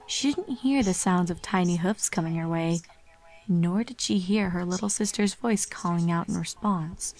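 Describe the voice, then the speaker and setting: A woman's voice: fairly low pitch, normal speed, a little mellow. A woman reading a horror fan fiction story aloud as its narrator.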